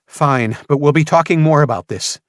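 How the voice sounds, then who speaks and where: Man voicing a worried mother, raised pitch. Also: normal speed, no room sound, clean studio sound, close to an everyday voice. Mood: upset, demanding. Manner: gentle, questioning, pitched up when upset. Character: worried, gentle but firm mother in post-apocalyptic audiobook.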